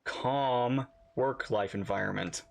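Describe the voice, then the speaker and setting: A man's voice: medium pitch, slow, vocal fry, darkish tone. A man hosting a reaction video, commenting casually on a video game as it plays.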